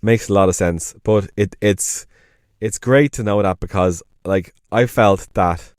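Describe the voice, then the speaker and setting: Irish man, lowish voice, enthusiastic rambling chat, dry recording. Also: unhurried, no echo. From Ireland. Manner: enthusiastic, rambling, self-correcting. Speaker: podcast co-host. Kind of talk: casual chat.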